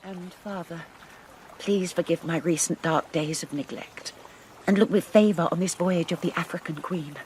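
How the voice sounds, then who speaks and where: An actress playing a prim, devout spinster who speaks earnestly. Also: female voice, lowish voice, normal speed, a little mellow, faint music behind, natural voice. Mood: prayerful.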